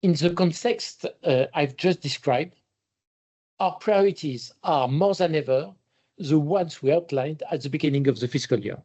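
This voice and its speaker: A man giving a formal, measured scripted business presentation as a chief executive. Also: on the high side, darkish tone.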